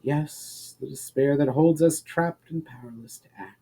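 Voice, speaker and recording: A man voicing a sorrowful female water spirit, slow, hushed and weary, in a fantasy story reading. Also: a little high, husky. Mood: despairing, weary.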